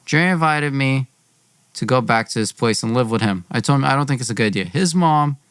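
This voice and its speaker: A man's voice: mid-range voice, animated, a little fast, fairly bright tone, clean tone, no echo. A young man hosting a podcast, telling a personal story in an informal, dramatized way. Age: young adult.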